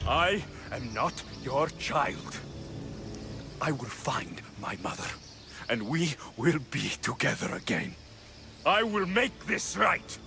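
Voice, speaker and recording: A man's voice: on the high side, big pitch swings, slow pace, creaky, music in the background, full character voice. A man playing an intense young ancient Greek mercenary in a dramatic video game cutscene. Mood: grim resolve.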